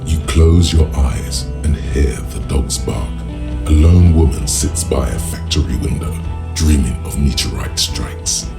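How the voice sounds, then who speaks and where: A man voicing a brooding, poetic narrator of a noir game world, with slow, gravelly, literary delivery. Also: a bass voice, exaggerated voice. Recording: music under the voice. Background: music.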